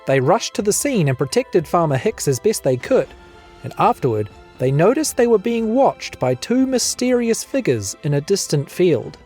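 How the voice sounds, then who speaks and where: A fairly high male voice with a New Zealand accent delivers scripted podcast announcements and recap narration. His pitch moves in lively swings over a music bed. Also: moderate pace, breathy edge.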